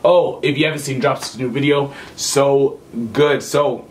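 A man's voice: medium pitch, fairly even intonation, measured pace. A young man giving casual reaction commentary as a video host, emphatic and repeating his phrases. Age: young adult. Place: small home room with computer setup.

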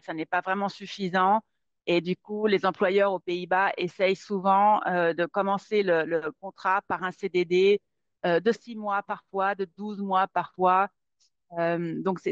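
Brief: female lawyer presenting a webinar, halting and filler-heavy, dry muffled laptop-mic sound. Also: fairly low pitch, dry recording. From Netherlands. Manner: many fillers and repeated words. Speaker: employment lawyer giving a webinar. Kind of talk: hesitant spoken presentation. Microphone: webcam or laptop mic on a video call. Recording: dull, dry lo-fi webinar audio.

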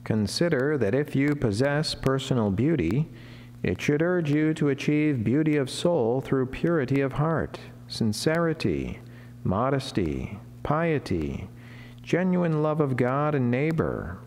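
A long church reverb and a noticeable humming background surround this man's voice. He is a middle-aged friar delivering a written devotional sermon from a chapel lectern. His delivery is formal and measured, with deliberate pauses and an average male pitch. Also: very animated, a little mellow, slight background noise. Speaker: Franciscan friar giving a daily saint reflection. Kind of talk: solemn read sermon, devotional reading. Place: wood-panelled chapel, indoors, at a lectern.

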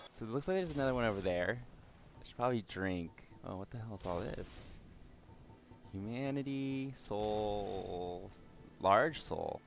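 A young man with a somewhat low voice, a little raspy and hoarse from yelling the day before. He narrates his gameplay casually, cursing at enemies and laughing at his own mistakes. He talks into a desk microphone. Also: animated, a little slow, faint music behind. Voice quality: slightly raspy. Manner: swears and exclaims at the game, self-mocking. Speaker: gaming channel host. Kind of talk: casual let's-play commentary.

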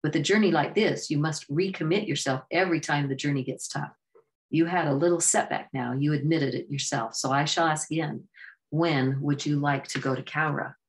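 A woman voicing a calm, wise male bee who guides a weary traveler, speaking in a patient, measured way. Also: low-pitched, a little hiss or hum. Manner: patient, measured, gently coaching.

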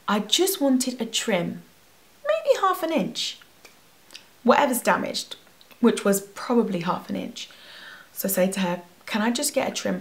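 A young woman tells a lively, expressive story straight into the camera from her bedroom. Her voice carries a gravelly edge. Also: on the high side. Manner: animated, expressive, gesturing a lot. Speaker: hair vlogger. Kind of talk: storytime vlog. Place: bedroom at home. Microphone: camera at face distance.

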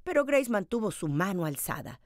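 A woman storyteller reading a children's picture book aloud in an expressive, dramatized way. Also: medium pitch, normal speed, no echo, studio quality.

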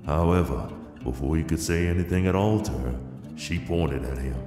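A man's voice: a bass voice, normal speed. A man narrating a scripted western audio drama. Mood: measured. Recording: echoing, long reverb over music.

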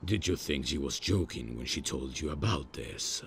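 ASMR roleplay demon played by a man: male, extremely low and creaky, proud, grumpy, hesitant, with deadpan menace. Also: moderate pace, a little hiss or hum, heavily acted. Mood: curious, deadpan.

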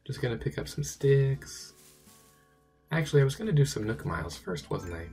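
Hoarse, gravelly man chatting while gaming. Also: medium pitch, big pitch swings, normal speed, mellow, faint music behind. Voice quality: gravelly, raspy. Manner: chatty, talks to himself and the game. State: hoarse from a sore throat. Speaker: let's play gamer. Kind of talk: casual gameplay commentary.

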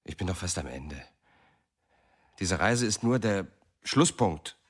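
An actor in a radio drama playing a mild, evasive writer in a restrained manner. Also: male voice, sits low, animated, takes it slowly, husky, heavily acted. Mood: placating. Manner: restrained, placating, brief sentences. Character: mild, evasive writer husband, male, absorbed in his book.

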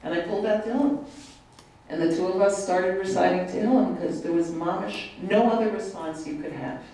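A woman's voice: medium pitch, brisk, dark tone. An older woman on a panel, telling stories earnestly and mixing Hebrew terms into her talk. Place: large hall with long table. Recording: echoey hall, distant mic.

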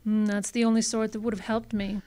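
A woman's voice: mid-range voice, little pitch movement, a little fast, dark tone, lightly acted. An actress playing an anxious, secretive femme fatale in a radio drama, hesitant and melodramatic in her pleading. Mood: evasive. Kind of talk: old-time radio detective drama acting.

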